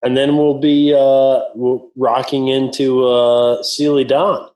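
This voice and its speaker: A man's voice: medium pitch, level tone, slow, darkish tone. A man chatting casually as co-host of a music podcast. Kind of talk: casual chat. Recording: echoey room.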